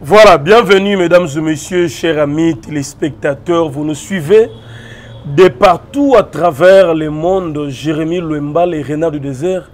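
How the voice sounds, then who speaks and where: A male Congolese TV journalist hosting an interview, putting quick questions to his guest and greeting viewers. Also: on the high side.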